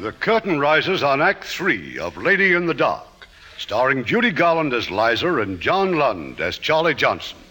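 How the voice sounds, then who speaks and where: A man's voice: medium pitch, very animated, normal speed, over loud music. A man narrating as host of a 1950s radio drama, in scripted theatrical style.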